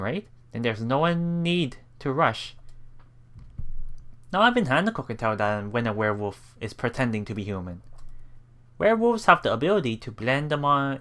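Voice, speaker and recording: A man's voice: mid-range voice, a bit bright. A man hosting a let's play, reading visual novel text aloud and adding casual, reactive asides. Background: game music.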